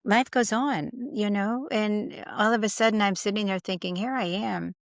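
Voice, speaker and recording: A woman's voice: mid-range voice, steady tone, gravelly, no echo, clean studio sound. An older woman with a slight Boston accent, candidly telling stories as an interview guest. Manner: candid, storytelling, quick asides. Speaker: guest, integrative medicine coach. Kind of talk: casual interview chat.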